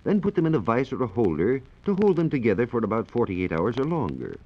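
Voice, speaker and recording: A man explaining science in a measured, precise way as a reporter on a 1940s radio program. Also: medium pitch, very animated. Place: radio studio. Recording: dull, noisy 1940s radio recording.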